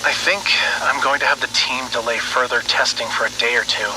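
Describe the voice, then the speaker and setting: A man acts out an earnest research scientist dictating a secret horror-story log entry. His medium-pitched voice is thoughtful and sometimes hesitant, and there is clear noise throughout the recording. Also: steady tone, a little fast, close to an everyday voice. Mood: troubled, hesitant. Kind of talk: dramatic audio log narration.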